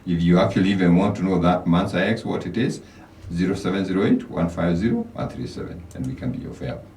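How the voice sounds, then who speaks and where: A man's voice: sits low, fast, a little mellow, roomy sound, recorded from a distance. A Kenyan man, a financial coach, giving motivational advice as a radio guest, often repeating words as he starts a thought. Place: radio studio.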